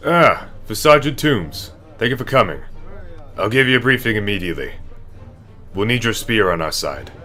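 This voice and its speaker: A man's voice: on the low side, big pitch swings, bright, forceful tone, soft background music. A man playing a stern, solemn military captain who speaks formally and with command in a fantasy audio drama. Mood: businesslike. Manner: formal, commanding, deliberate oratory.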